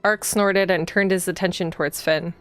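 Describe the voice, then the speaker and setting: A woman's voice: lowish voice, fairly even intonation, moderate pace, fairly bright tone, soft background music, natural voice. A woman reading the part of a proud, dutiful young policewoman in a visual novel, earnest and easily indignant. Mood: composed.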